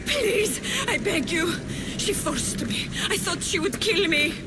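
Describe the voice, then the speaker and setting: A woman's voice: very high voice, lively intonation, takes it slowly, heavily acted. A woman voicing a frightened, tearful captive begging in a video game cutscene. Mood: terrified pleading. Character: terrified pleading woman captive. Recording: loud music over the voice.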